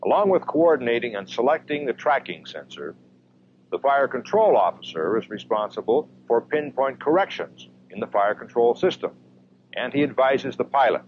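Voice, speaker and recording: An American man narrates a military training film in a measured, emphatic style. His pitch moves in an animated way over a mid-range voice. The sound is a dull 1970s film track with faint noise behind it. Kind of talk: scripted military documentary narration.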